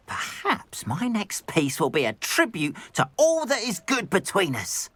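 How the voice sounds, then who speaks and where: A gentle goblin merchant from a video game, grateful and effusive. The voice is husky and swoops widely in pitch, with earnest, hopeful delivery. It sounds dry and close. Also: female voice, huge pitch swings, a little slow, no echo, full character voice. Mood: relieved, delighted. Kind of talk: dramatic video game dialogue.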